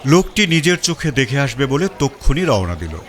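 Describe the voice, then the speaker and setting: Expressive male storyteller. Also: medium pitch, brisk. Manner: first-person storytelling, expressive. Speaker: narrator. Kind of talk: scripted story narration.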